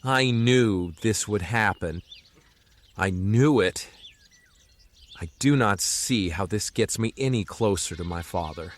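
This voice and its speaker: Troubled young healer, very low pitch, restrained and unhurried, audio drama. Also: male voice. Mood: despairing. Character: grave, troubled young healer searching for his father.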